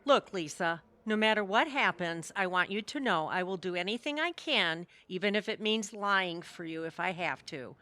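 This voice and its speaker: Bright, lively, apologetic suburban mom, acted by a woman. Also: on the low side, normal speed, hardly any pauses. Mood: earnest, loyal. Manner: defensive, earnest. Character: tipsy-night-out suburban mom, apologetic and loyal friend. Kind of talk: audio theatre comedy acting.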